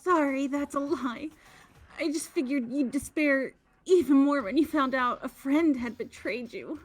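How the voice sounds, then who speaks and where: A woman's voice: sits high, a little slow, soft background music. A woman voicing a cruel, cynical young female villain in a fan-dubbed video game, scornful and blunt.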